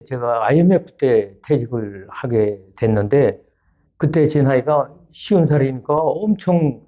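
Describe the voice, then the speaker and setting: Man in his seventies, slightly muffled recording. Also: medium pitch. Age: 70s. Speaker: retired auto-parts executive, channel creator, interviewee. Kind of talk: conversational personal account in an interview.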